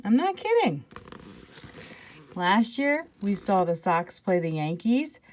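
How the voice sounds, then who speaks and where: A woman's voice: medium pitch, very animated, takes it slowly. A woman teacher reading a children's picture book aloud expressively, with casual asides to her listeners.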